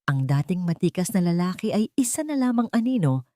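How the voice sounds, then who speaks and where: A woman narrating a scripted romance story in a measured, dramatic way, phrase by phrase. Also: on the low side, big pitch swings, warm tone, no echo, clean studio sound.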